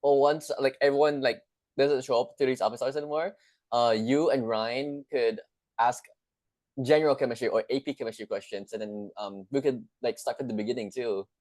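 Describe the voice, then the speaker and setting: A man's voice: mid-range voice, steady tone, brisk, a little mellow, no echo. A man hosting online chemistry office hours, chatting casually with lots of fillers and repeated phrases over a video call. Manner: friendly, filler-heavy, repeats himself. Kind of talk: casual chat.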